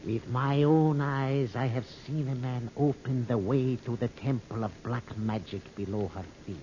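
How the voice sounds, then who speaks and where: A muffled, noisy old radio recording of a proud guide played by a male actor, mellow and grave. He speaks formally, like a loyal servant of a princess in an adventure serial. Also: medium pitch, a little slow, close to an everyday voice. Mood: grave, mysterious. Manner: formal, grave, declamatory phrasing.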